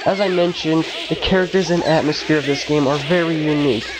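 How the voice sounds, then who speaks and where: A man's voice: fairly high pitch, a little fast, noisy background. A young man with a congested, stuffy voice from a cold, reading a casual, slangy, enthusiastic video game review. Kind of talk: scripted game review voice-over.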